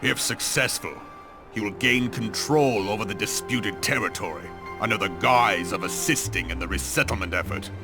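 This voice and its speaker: An actor playing a stern, blunt military commander in a fantasy video game, speaking gravely in formal, archaic phrasing. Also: male voice, lowish voice, moderate pace, music in the background, natural voice. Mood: scornful. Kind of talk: scripted video game drama.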